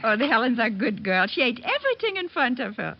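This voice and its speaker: A motherly older hostess in old-time radio drama. Her voice is fairly high and very lively, fussing and coaxing with affection. The recording is dull and vintage. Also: fast talker. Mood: fond, coaxing. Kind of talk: 1940s radio acting.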